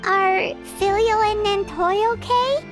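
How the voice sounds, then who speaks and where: Very squeaky childlike fairy companion. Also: female voice, takes it slowly, over music. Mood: curious. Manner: cute, expressive, childlike. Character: small childlike fairy companion, high-pitched, curious, friendly. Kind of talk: video game voice acting.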